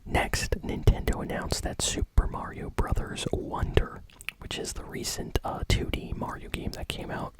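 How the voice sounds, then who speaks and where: A woman hosting a casual gaming news show in ASMR style, whispering in an enthusiastic, conversational, unscripted way. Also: normal speed. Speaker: host of a gaming news channel.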